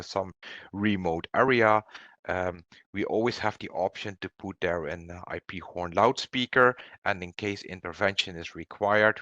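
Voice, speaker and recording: Man, low pitch, webinar product presenter, halting explanatory delivery, dry sound. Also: a bit bright, dry recording.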